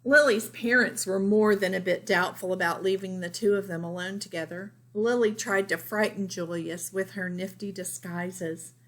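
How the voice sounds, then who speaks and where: A woman reading a picture book aloud as a teacher, deliberate and emphatic, for young children. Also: mid-range voice, steady tone. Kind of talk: picture-book read-aloud with informal asides.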